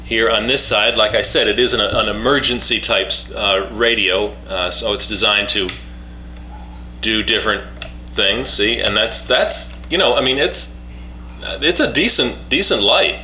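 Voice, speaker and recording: A man giving a casual, unscripted product demonstration in a halting way, repeating and restarting his phrases. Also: on the low side, sharp tone. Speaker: product reviewer demonstrating a two-way radio. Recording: roomy echo, faint background hum.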